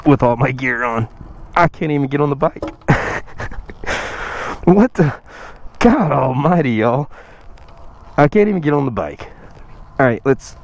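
A man chatting casually while riding his motorcycle, his voice a bit rough from recovering after losing it. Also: on the high side, a little mellow. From Texas. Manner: chatty, self-joking, sighs and laughs. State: recovering from lost voice. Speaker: motovlogger. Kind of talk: casual motorcycle vlog chat. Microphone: helmet-mounted action camera mic. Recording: outdoor wind and road noise.

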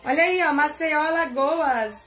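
A woman's voice: sits high, unhurried, over music. A young woman, chatting casually on a live stream from a bedroom, greeting viewers by name in an affectionate way, recorded on a phone held at arm's length. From Bahia, Brazil. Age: young adult. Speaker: singer hosting a live stream. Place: bedroom indoors, seated on bed. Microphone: phone camera at arm's length.

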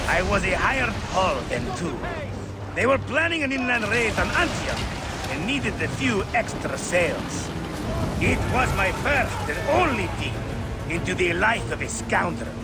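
A boisterous middle-aged Greek warship captain in a video game. He is grandiose and gleefully warlike, swinging widely in pitch and taking his time over each phrase. Music plays behind him. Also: heavily acted. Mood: reminiscing. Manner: grandiose, playful, declaiming. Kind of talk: theatrical video game character acting.

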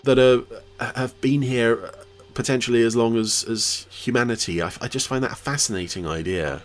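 A man's voice: medium pitch, normal speed. A man giving casual, wry, jokey commentary on a TV episode as a podcast host.

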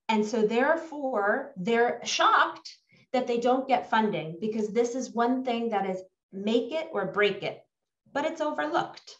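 A high-voiced woman with a New York accent leads an online workshop as a coach. She is emphatic and self-assured. The sound is roomy and distant, as on a video call. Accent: New York American. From New York, United States. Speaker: executive coach leading a workshop. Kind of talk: webinar presentation to participants. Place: indoor room on a video call. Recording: dull, roomy, distant webinar audio.

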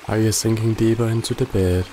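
A man guiding a body scan meditation in a calm, gently instructive way with long pauses. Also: lowish voice, a little hiss or hum. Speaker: meditation guide. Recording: loud running water behind the voice. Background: trickling stream water ambience.

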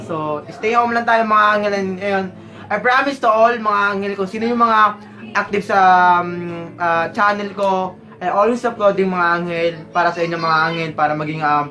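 Excitable Filipino teen vlogger guy. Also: sits high. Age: late teens. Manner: excitable, exclaiming, teasing. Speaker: YouTuber host. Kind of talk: playful vlog chat, mixing English and Tagalog. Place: small bedroom, indoors. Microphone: handheld phone camera at arm's length.

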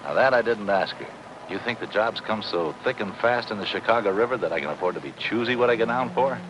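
Gruff, sarcastic diver acted by a man, low-voiced. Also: animated, fast, lightly acted. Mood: sardonic. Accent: American. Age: middle-aged. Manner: curt, sardonic. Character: gruff, sarcastic working-class male river diver. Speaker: actor playing a diver. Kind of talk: 1950s television drama dialogue. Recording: noisy old film soundtrack, waterfront ambience.

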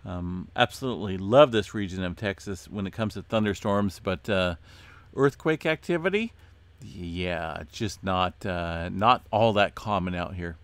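A man hosting an earthquake update, talking casually through his screen with many fillers and a voice going hoarse. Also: low-pitched, unhurried, dry recording. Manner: relaxed, many "uh" fillers, addresses viewers as folks. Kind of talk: casual screen-share commentary.

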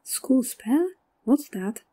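A woman's voice: sits high, animated, very slow, mellow, slightly rough, exaggerated voice. A woman voicing a friendly, helpful new schoolgirl in an amateur comic fandub.

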